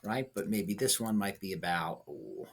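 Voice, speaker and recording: Deep, slightly husky male teacher. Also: very animated, a little slow. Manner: coaching, checks in with short tag questions. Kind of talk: instructional lesson, thinking aloud through a writing example.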